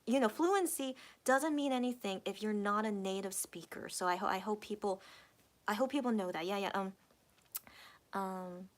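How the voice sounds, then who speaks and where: A woman's voice: medium pitch, moderate pace. A young adult woman hosting a casual live stream, reading out chat comments and answering them in a rambling way, with lots of fillers and repeated words. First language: English. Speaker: livestream host reading chat.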